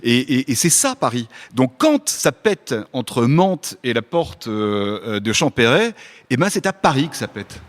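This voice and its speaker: Insistent man with very lively intonation. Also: mid-range voice, a little slow. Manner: insistent, rephrasing his point. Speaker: panel guest. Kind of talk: animated intellectual debate.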